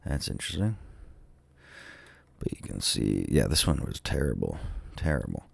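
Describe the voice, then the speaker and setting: A sick man with a cold thinks aloud in a casual, hesitant, musing way, with many ums and trailing pauses, as he narrates a vlog. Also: extremely low pitch, sing-song, creaky, breathy edge, dry recording. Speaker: vlogger touring tornado damage on a map.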